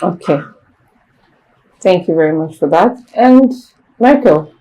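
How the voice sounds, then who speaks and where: Playful Nigerian woman host, warm and low. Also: expressive, slow, dull audio. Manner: playful, teasing, slips into pidgin. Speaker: talk show host. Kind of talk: casual interview.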